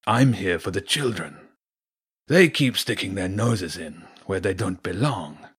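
A man voicing a large grey wolf villain in a storybook, confident and taunting with mock-friendly, unhurried menace. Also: low voice, expressive, fairly bright tone, slightly rough, exaggerated voice. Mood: smug menace. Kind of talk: audiobook character voice.

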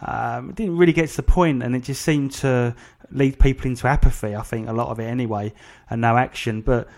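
A man's voice: medium pitch, fairly even intonation, fairly quick, gravelly, darkish tone. A man hosting an interview in a casual, conversational way, agreeable and a little hesitant. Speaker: interview host.

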